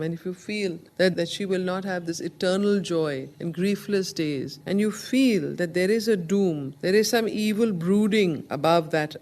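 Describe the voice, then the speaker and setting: A woman teaching a study circle, explaining in a deliberate, emphatic way and reciting poetry slowly. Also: lowish voice, a little mellow.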